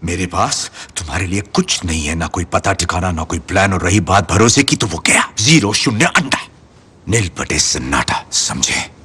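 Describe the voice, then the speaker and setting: Worn out superhero, really raspy, bitter sarcastic rant, pitch all over the place. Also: male voice, expressive, normal speed, sharp tone, exaggerated voice. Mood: furious, sarcastic. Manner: sarcastic, emphatic, ranting. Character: bitter, exhausted, sarcastic male superhero lashing out at teammates. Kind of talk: Hindi film dub acting.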